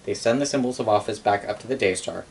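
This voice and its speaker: A young man with a fairly low voice tells a story in a casual, improvised way, wry and given to swearing. He is picked up by a camera mic across a living room, with clear noise behind him. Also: fast talker. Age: young adult. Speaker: storyteller recounting game lore. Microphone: camera mic a few metres away.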